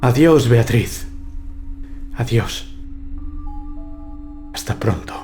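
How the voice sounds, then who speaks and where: A young nobleman hunter acted by a man in a gothic horror audio drama, brave yet haunted by dread. He declaims solemnly at a slow pace. Clear music plays behind him. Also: mid-range voice, lively intonation, a little mellow. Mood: solemn farewell.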